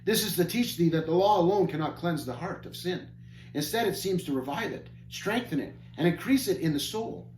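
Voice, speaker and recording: A patient, wise guide explaining things in old-fashioned speech, as a man puts him on for a storybook. His voice is fairly high and measured. The room is echoey. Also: lively intonation, darkish tone, natural voice. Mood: teaching. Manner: archaic, instructive. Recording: echoey room, faint hum.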